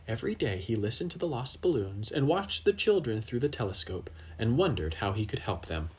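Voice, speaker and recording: Expressive, lively children's storybook reader. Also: medium pitch. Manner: dramatic pauses, asides to young listeners.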